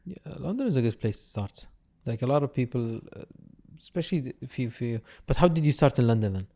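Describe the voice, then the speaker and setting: A man's voice: medium pitch, sing-song, fast talker, dark tone. A man hosting a podcast, talking casually and often restarting his sentences. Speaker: podcast host. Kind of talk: casual interview chat.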